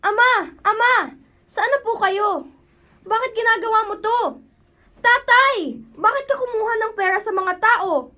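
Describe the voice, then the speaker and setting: A woman's voice: very high-pitched, a little slow, pauses often, bright, forceful tone, full character voice. A woman playing an earnest, pleading young daughter of a corrupt mayor in an amateur radio drama. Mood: distraught.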